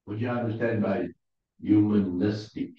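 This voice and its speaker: A man with an Afrikaans accent, whose first language is Afrikaans, teaching a Bible class in a halting way, often pausing to search for English words. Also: fairly low pitch, fairly even intonation. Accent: South African Afrikaans. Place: church hall. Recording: distant, roomy, dull lo-fi sound.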